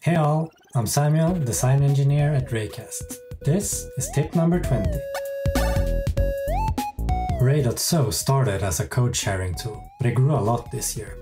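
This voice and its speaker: An enthusiastic man talks in an animated, lively way, sounding a little far from the mic. Guitar music plays clearly behind him. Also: medium pitch, unhurried. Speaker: design engineer. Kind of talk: scripted product tip.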